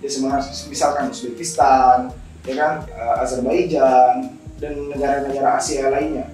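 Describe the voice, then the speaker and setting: A man's voice: medium pitch, steady tone, normal speed, warm tone. A young man, explaining things conversationally as an interview guest and often repeating his phrases. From Indonesia. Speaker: guest, scholarship alumnus. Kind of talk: informal talk show interview, storytelling. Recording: very echoey room, distant mic, music behind.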